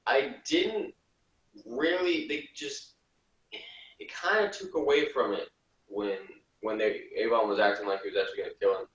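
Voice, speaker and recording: Echoey room, man a bit away from the mic, halting casual review talk, critical. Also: on the low side, fairly bright tone. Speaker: podcast reviewer. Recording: roomy, distant, slightly muffled.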